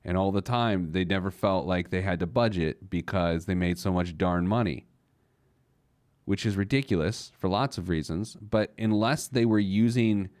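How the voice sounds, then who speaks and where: A man's voice: low voice, a little mellow, no room sound, studio quality. An American man hosting a personal finance show in a conversational, emphatic way, acting out objections and answering them.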